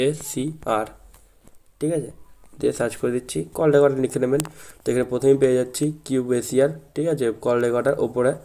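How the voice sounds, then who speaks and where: A man's voice: mid-range voice, warm tone. A man presenting an informal tech tutorial as a channel host, often tagging statements with a checking question. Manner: repeatedly adds a checking tag to statements.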